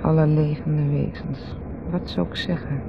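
A sardonic wife needling her brother-in-law, deliberate and halting, with a slightly low pitch. The old radio drama sounds muffled, with clear outdoor noise behind her. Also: steady tone. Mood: bitter mockery. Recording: dull noisy old radio recording, outdoor ambience.

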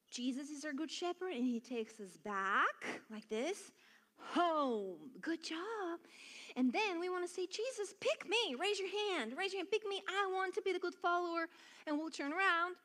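A woman's voice: extremely high pitch, fairly bright tone. A woman from Bulgaria leading a children's church lesson, encouraging and giving simple step-by-step instructions. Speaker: children's worship song and dance leader.

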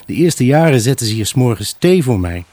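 An actor playing a wistful, evasive husband left alone, in a halting, pensive radio drama monologue. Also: male voice, medium pitch, very animated, mellow, dry recording. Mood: nostalgic. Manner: halting, pensive, addressing an unseen buyer. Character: wistful, evasive abandoned husband selling his house.

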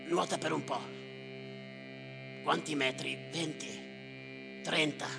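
An actor delivering a theatrical monologue as a haunted, embittered official, in terse, repetitive, incantatory phrases with a gravelly voice. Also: male voice, a little high, steady tone, slow, full character voice. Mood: grim, intense. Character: haunted, embittered male official narrating drownings at sea. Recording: reverberant theatre hall with live music.

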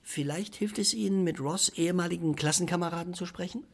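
An actor playing a mild, polite and earnest reverend schoolmaster in a radio play. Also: male voice, high voice, fast, creaky. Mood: earnest, obliging. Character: small, round, mild, obliging reverend schoolmaster. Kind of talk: German radio play acting.

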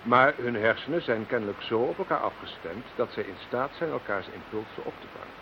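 An actor playing a self-assured doctor of the paranormal in a Dutch audio drama, speaking in measured, formal, lecturing phrases. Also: male voice, medium pitch, animated, lightly acted. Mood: explaining. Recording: dull, noisy, hissy old radio recording.

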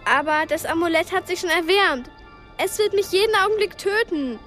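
High-voiced, earnest young girl heroine. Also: animated, moderate pace, a bit bright, soft background music. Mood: bewildered. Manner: pleading yet defiant. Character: earnest, determined twelve-year-old girl heroine. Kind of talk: German radio drama acting.

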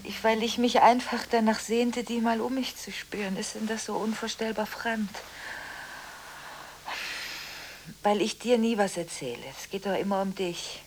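An actress playing a distraught, contradictory woman in a radio drama, talking on the phone in a halting, agitated way with repeated words and broken-off phrases. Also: mid-range voice, fairly even intonation, darkish tone, a little hiss or hum, close to an everyday voice. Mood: hurt, reproachful. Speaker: lead actress in a radio play. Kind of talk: 1980s German radio drama acting, telephone monologue.

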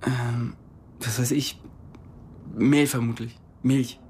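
A woman's voice: a bass voice, fairly even intonation, slow pace, darkish tone, a little hiss or hum. A woman in a German radio drama playing an eager young friend, coaxing and insistent in pursuit of a secret. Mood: puzzled. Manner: insistent, persuasive.

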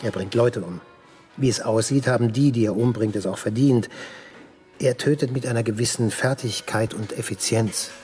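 An actor plays a wry, hard-bitten former prisoner in a radio crime drama. He is a man of medium pitch who speaks tersely and with dry irony, over faint music. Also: moderate pace, a little mellow, lightly acted. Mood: dryly ironic. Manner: laconic, dryly ironic.

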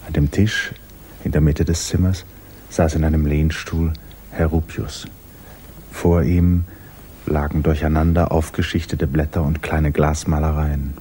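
An actor narrating a 1960s radio play in a measured, literary manner. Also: male voice, a bass voice, warm tone, slight background noise. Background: music.

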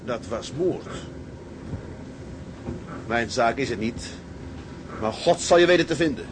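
An actor playing a righteous, solemn ship's captain with a terse way of talking in a maritime drama. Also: male voice, mid-range voice, lively intonation, slow pace, background noise. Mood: accusing. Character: grave, righteous ship's captain with moral conscience. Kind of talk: dramatic seafaring acting.

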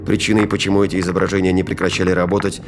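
A man's voice: sits low, little pitch movement, rapid-fire, a little hiss or hum. A man reading dramatic scripted narration as the narrator of an audio drama. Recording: loud music behind. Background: loud music and effects.

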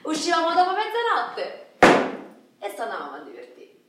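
An actress playing a frustrated, naive and dreamy working-class Roman wife in a radio play, rambling colloquially with a Roman accent. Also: female voice, very high voice, huge pitch swings, moderate pace, exaggerated voice. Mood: wistful, amused. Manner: colloquial, rambling, tags phrases with "no?" and "eh". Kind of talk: theatrical radio drama monologue in dialect. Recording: roomy, echoing, distant mic, faint noise.

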